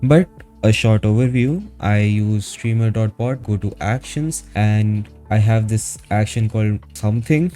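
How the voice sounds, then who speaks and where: A man narrating a step-by-step software tutorial in a casual, instructional manner, as a streamer would. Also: on the low side, unhurried. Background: background music.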